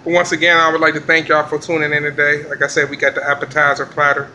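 Laid-back bright-voiced chef. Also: male voice, a little high, completely flat delivery, fast, a little hiss or hum, poor audio. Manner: laid-back slangy hype talk. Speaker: chef and vlog host. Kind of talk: casual cooking vlog. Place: commercial kitchen, indoors. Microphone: handheld phone camera.

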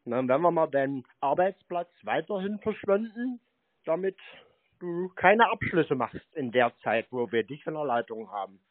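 Mocking, higher-pitched man on phone recording. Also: lively intonation, normal speed, no echo. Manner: mocking, crude, cheeky pet names. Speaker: scambaiting prank caller and show host. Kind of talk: teasing prank phone call. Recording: dry, band-limited phone-call recording.

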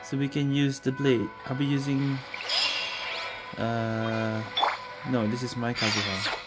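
A woman's voice: extremely low pitch, flat delivery, slow pace. A woman casually commenting on a game she plays, muttering short reactions over game music. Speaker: gamer commenting on own playthrough.

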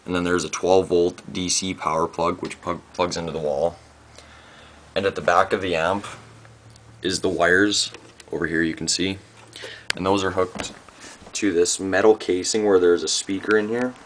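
A young man giving a casual home tutorial in a laid-back, halting way with lots of filler, recorded on a camera's built-in microphone in a small room. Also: sits low, steady tone, a bit bright. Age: young adult. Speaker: amateur musician demonstrating gear. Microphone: handheld camera's built-in microphone. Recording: noisy amateur camera microphone.

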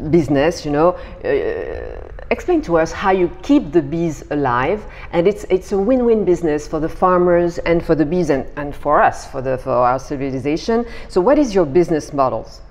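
A woman's voice: sits low, sing-song, normal speed, a little mellow. A middle-aged woman asking questions as an interviewer in a conversational style. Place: bright indoor living room.

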